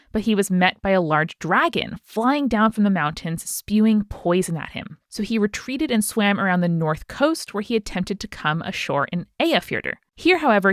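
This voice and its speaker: A woman co-hosting a podcast, reading out a listener's story in an animated way with chatty asides. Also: mid-range voice, no echo, clean studio sound. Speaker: podcast co-host.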